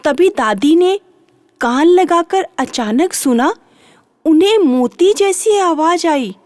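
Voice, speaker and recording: A woman's voice: very high-pitched, animated, takes it slowly, a little mellow, no room sound, clean studio sound. A woman narrating a children's bedtime story, repeating and drawing out phrases for drama.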